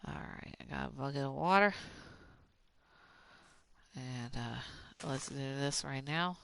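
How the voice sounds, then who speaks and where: A streamer from Oklahoma with a Southern accent, rambling in fast, casual talk with plenty of fillers while playing a game. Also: medium pitch, creaky, fairly bright tone. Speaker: gaming streamer.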